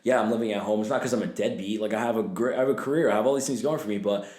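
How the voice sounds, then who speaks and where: A man in his twenties chatting loosely on a podcast, his voice a little low, filled with fillers and curses. The room echoes around him. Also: rapid-fire, darkish tone. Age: 20s. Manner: loose, swearing, laughing, trailing fillers. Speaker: podcast guest. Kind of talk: casual chat.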